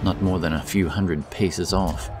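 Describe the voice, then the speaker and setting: A man's voice: sits low, fairly even intonation, unhurried, recorded from a distance. A man giving a dramatic scripted narration of a fantasy story. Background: loud epic background music.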